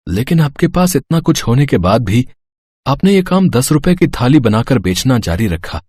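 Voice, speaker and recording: A man's voice: medium pitch, very animated, moderate pace, darkish tone, husky, no echo, studio quality. A man playing a poor young father, respectful and careful, in a moral story.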